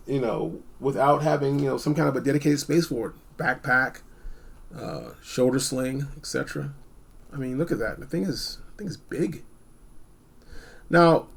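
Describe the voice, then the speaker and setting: A man giving a casual, unscripted gadget review, blunt and informal, rambling and repeating phrases for emphasis, with a gravelly, husky voice. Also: mid-range voice. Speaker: product reviewer.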